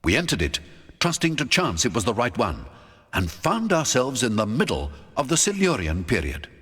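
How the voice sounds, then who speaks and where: A man narrating a scripted first-person adventure audiobook in a dramatic, measured storytelling manner. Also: medium pitch, wildly swooping pitch, fairly bright tone, husky. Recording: long hall-like reverb.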